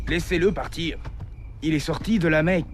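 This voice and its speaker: A male actor plays an anxious clan elder in an animated drama. His voice is somewhat high and hesitant, with faint background noise. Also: normal speed, close to an everyday voice. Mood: worried, objecting. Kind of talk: French-dubbed animated historical drama.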